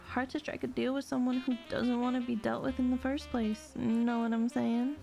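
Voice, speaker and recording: A woman's voice: fairly high pitch, little pitch movement, normal speed, creaky, dark tone, faint music behind. A woman playing a sly, sweet-talking con artist in an audio drama, with a sassy, teasing, self-assured delivery. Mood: coy, teasing. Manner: sassy, drawling terms of endearment, teasing.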